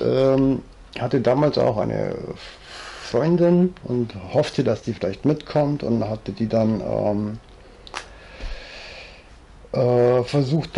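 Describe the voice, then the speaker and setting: Gravelly Bavarian man in his fifties. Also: mellow. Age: 50s. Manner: rambling, chatty, digressive, with many fillers. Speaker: vlogger. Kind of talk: casual storytelling monologue, reading aloud. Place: living room, seated in armchair. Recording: low-quality home recording.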